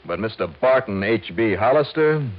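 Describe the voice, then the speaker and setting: A dull, aged radio recording carries a male actor as a hard-boiled yet breezy American investigator. His voice sits low and moves in lively swings. He talks in a wry, conversational way, in the style of vintage detective radio. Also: normal speed, noisy background, close to an everyday voice. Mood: brisk, wry. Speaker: narrator and lead actor of a detective serial. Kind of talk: old-time radio detective acting and first-person narration.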